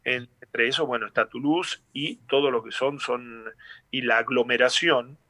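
A man's voice: mid-range voice, steady tone, normal speed, sharp tone, no echo. A middle-aged man from Tucumán, Argentina, with an Argentine Tucumán accent, talking casually as an interview guest, hesitant with many fillers and restarts. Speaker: singer and former rugby player, guest.

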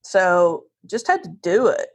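A woman's voice: on the low side, big pitch swings, slow. A woman with a Southern US accent chatting candidly and self-deprecatingly as a podcast guest, often acting out quotes of herself and others. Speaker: podcast guest, divorce lawyer. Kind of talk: casual conversational interview.

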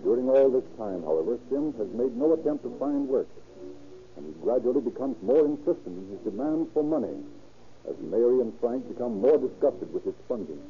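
A man's voice: medium pitch, expressive, fairly quick. Male 1930s radio narrator, tinny sound. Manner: formal, dramatic storytelling. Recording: tinny old radio recording.

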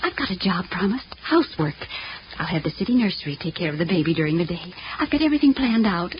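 A woman's voice: fairly low pitch, big pitch swings, fast. A woman acting as a devoted, determined mother of little means in a 1940s radio drama, speaking earnestly and tenderly. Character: devoted, proud single mother, poor but determined. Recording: dull, noisy 1940 radio broadcast.

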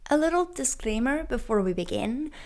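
A woman's voice: high voice, expressive, normal speed, darkish tone. A woman with a French accent, whose first language is French, hosting her own podcast in an upbeat, playful way and asking her listeners questions. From France. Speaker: podcast host. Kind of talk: scripted solo podcast talk.

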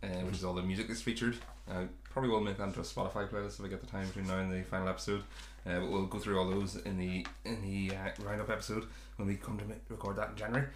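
A man's voice: deep voice, flat delivery, racing, hardly any pauses, reverberant, slight background noise. A man hosting a podcast, chatting casually about a sitcom in a rambling, self-correcting way. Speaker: podcast host.

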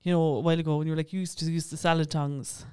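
High-voiced Irish man, giggly and chatty. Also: level tone, racing, mellow, clear, resonant tone. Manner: giggly, chatty storyteller who swears casually. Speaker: podcast co-host. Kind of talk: casual comic chat.

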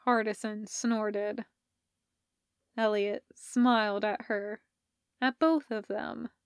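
A woman reading scripted fiction as a narrator, measured and expressive in her storytelling. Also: mid-range voice, creaky, clean tone, no echo, clean studio sound. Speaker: podfic narrator.

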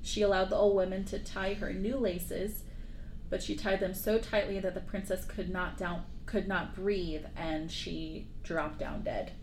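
A woman retells a dark fairy tale as a podcast host. Her delivery is informal and slangy, peppered with joking asides. The room adds noticeable echo, and faint noise sits behind her. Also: mid-range voice, steady tone, a little slow.

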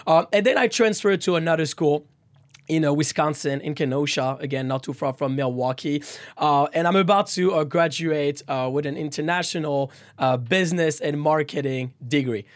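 High-pitched young Frenchman hosting a podcast. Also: normal speed, dry recording. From France. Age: 20s. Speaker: podcast co-host.

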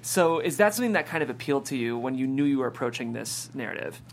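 A woman's voice: very deep voice, animated, fast talker, a little hiss or hum. A woman host reading out stage directions and chatting about a script.

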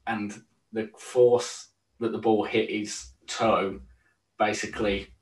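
A young man with a fairly low voice, chatting casually as a football podcast co-host. The sound is echoey, with him sitting a bit back from the microphone. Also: little pitch movement, slow. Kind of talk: casual sports chat. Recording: roomy, distant microphone.

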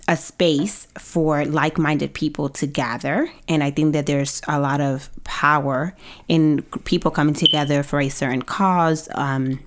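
A woman co-hosting a casual podcast, her voice very low for a woman. She speaks carefully and in a measured way, working through her points aloud. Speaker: podcast co-host.

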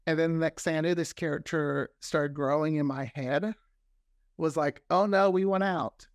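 Higher-voiced man telling stories casually. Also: moderate pace, dry recording, clean studio sound. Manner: animated storyteller, often acts out quoted remarks. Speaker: guest, audio drama creator. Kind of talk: casual interview talk.

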